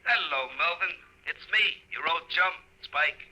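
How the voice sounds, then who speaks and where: An actor playing a chummy, mischievous hobo ghost in a 1950s radio horror-comedy, with an American accent and slangy, ungrammatical buddy talk. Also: male voice, a little high, measured pace, heavy background noise, natural voice. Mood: teasing. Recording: muffled old radio recording.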